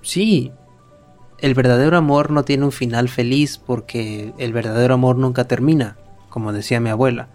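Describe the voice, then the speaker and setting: An actor playing a wry, wounded wedding guest in an audio drama, sardonic and theatrical. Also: male voice, mid-range voice, fairly even intonation, normal speed, a little mellow, faint music behind, close to an everyday voice. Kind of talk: Spanish-language audio fiction acting.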